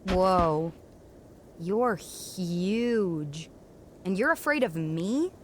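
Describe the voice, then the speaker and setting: A woman's voice: fairly low pitch, animated, very slow, slight background noise. A woman playing a determined, kind young female mountain climber, plucky and inquisitive, in a family audio drama. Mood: curious.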